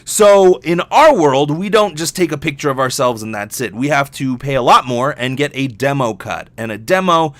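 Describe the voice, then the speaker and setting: A young man hosts a solo podcast in a very dry recording with no room sound. His voice sits at a medium pitch. He talks in a candid, rambling, conversational way and is loose with swearing. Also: expressive, normal speed, few pauses, a bit bright, no echo. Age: young adult. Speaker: podcast host, voice actor. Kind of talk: casual solo podcast monologue.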